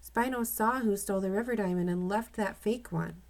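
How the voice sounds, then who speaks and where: A woman's voice: medium pitch, moderate pace, voice pushed up, natural voice. A woman reading aloud as an earnest, curious boy detective in a children's storybook. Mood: earnest, thoughtful.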